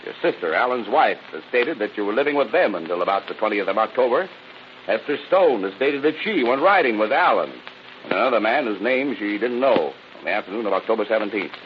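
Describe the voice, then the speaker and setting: An actor playing a relentless, tired, hard-boiled police lieutenant, stern and badgering, in a 1930s radio drama. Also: male voice, on the low side, expressive, fairly quick, lightly acted. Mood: insistent, heated. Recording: dull, noisy vintage radio recording.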